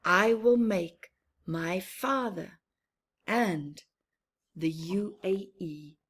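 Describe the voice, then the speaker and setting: A woman's voice: mid-range voice, drawn-out words, fairly bright tone, husky, exaggerated voice. A woman voicing a proud, cheerful young boy tree from a children's storybook, with earnest, emphatic phrasing. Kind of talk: children's storybook narration in the first person.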